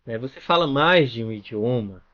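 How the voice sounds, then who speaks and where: A man's voice: mid-range voice, lively intonation, moderate pace. A man from Brazil teaches an online language lesson in a mid-range voice. He explains patiently and keeps checking in with the listener. The audio sounds a little dull. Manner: explanatory, with frequent "né?" and "tá bom?" check-ins.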